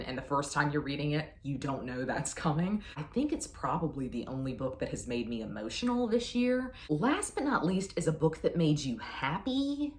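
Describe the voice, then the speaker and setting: A woman chatting casually as a book reviewer, effusive and emphatic with a playfully self-deprecating manner. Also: extremely low pitch. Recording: roomy, echoey sound.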